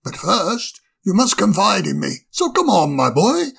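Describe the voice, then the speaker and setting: A reader doing a gravelly old owl professor. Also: medium pitch, huge pitch swings, measured pace, a bit bright, exaggerated voice. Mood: genial. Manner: chuckling, hesitant, fond of "my dear boy". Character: kindly pompous old male owl professor. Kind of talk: storybook reading.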